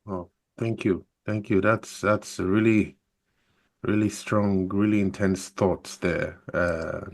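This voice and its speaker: A man hosting an interview over a video call, speaking in a halting, reflective way. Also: low voice, steady tone, vocal fry. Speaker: host.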